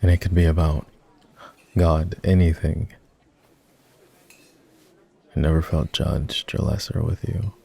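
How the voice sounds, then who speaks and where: A man playing an ex-boyfriend turned boss in an intimate roleplay ASMR, talking in a halting, conversational way. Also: extremely low pitch, fairly even intonation, creaky, dark tone, husky, exaggerated voice. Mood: vulnerable, regretful. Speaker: voice actor.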